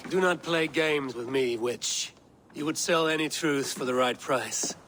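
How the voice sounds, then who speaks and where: Stern Norse god. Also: male voice, fairly high pitch, unhurried, fairly bright tone, a little hiss or hum. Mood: stern, demanding. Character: weary, commanding Norse god seeking wisdom. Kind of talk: video game cutscene dialogue.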